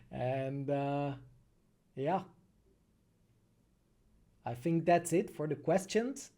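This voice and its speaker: A Czech man with a soft, mellow voice that sits a little higher than average. He talks casually as a streamer answering questions from chat. His delivery is thoughtful and hesitant, with frequent pauses as he finds his words in accented English. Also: animated. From Czech Republic. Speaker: animated film creator and streamer.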